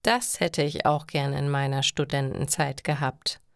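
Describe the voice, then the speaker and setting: Female narrator as supportive middle-aged dad, storybook dialogue, gentle and unhurried, dry close recording. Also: deep voice, fairly even intonation, gravelly, smooth, no echo. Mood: reassuring. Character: middle-aged father, kindly, supportive, reassuring.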